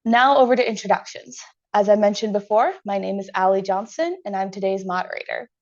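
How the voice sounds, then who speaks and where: An American woman moderating a webinar, reading her introduction in a clear, measured, businesslike way. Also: medium pitch, fairly even intonation, dull audio. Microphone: computer mic on a video call.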